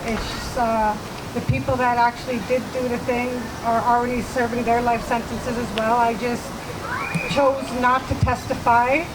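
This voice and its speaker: A woman's voice: fairly high pitch, little pitch movement, normal speed. Nervous woman speaking at a noisy rally. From Manitoba, Canada. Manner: hesitant, nervous, many fillers. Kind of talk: personal testimony speech. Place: outdoor rally. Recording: noisy outdoor recording with traffic.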